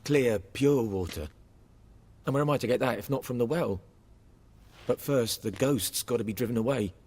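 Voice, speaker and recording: An anxious peasant father from a fantasy game played by a man speaks in a husky voice of middling pitch. He is earnest and rustic, stressing his words as he begs for help. A long reverb trails his speech. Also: moderate pace, a little mellow, close to an everyday voice. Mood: worried, grave. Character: anxious, pleading peasant father, superstitious villager. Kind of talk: video game voice acting.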